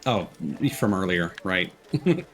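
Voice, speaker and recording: A man's voice: low-pitched, very animated, slow pace, fairly bright tone. A man streaming a video game with casual, wry, understated commentary over game music. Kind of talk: casual let's play commentary.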